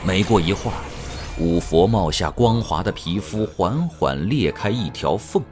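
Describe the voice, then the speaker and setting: Deep, expressive male narrator. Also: unhurried, faint music behind. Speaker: audiobook narrator. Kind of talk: dramatic scripted narration.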